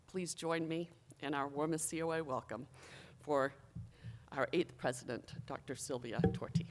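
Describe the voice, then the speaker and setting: Formal speech, measured delivery, emphatic phrasing, medium pitch, college official at a podium. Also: noisy background. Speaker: college official introducing the new president. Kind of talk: formal prepared ceremonial speech.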